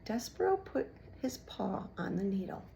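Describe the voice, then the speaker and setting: A woman reading a children's storybook aloud in an expressive way. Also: mid-range voice, moderate pace, vocal fry, slight background noise. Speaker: children's book reader.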